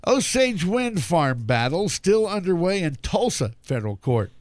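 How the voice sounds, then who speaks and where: A man's voice: sits high, big pitch swings, measured pace, no echo. A man hosting a radio talk show, reading news in a folksy, chatty way and stumbling now and then over words.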